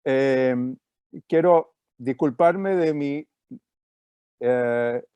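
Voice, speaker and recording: A man from Chile with a Chilean Spanish accent, giving an online lecture over a video call and pausing often with filler sounds. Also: mid-range voice, warm tone, clear, resonant tone, no echo. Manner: hesitant, many fillers. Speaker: guest speaker.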